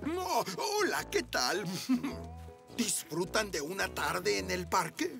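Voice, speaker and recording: Kindly grandpa zebra, lively pitch. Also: male voice, slow, creaky, exaggerated voice. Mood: kindly, playful. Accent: Latin American Spanish. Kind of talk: children's cartoon dubbing. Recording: music playing behind the voice.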